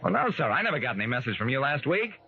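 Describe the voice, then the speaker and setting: An actor with an American accent playing an affable private detective in an old radio drama. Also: male voice, medium pitch, big pitch swings, fast, gravelly, muffled recording. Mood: apologetic, puzzled. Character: affable male private detective narrating his casebook. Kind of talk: 1940s-50s radio acting. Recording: noisy, band-limited old radio recording.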